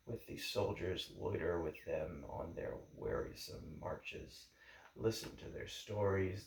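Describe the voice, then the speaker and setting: A man reading a history book aloud in a measured, formal way, with deliberate phrasing. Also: sits low, vocal fry. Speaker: book reader. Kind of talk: audiobook-style reading of a history book introduction. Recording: echoey room.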